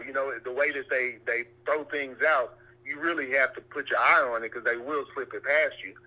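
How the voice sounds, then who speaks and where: Very bright-voiced man on a call. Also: mid-range voice, low-quality recording. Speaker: caller. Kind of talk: conversational opinion talk.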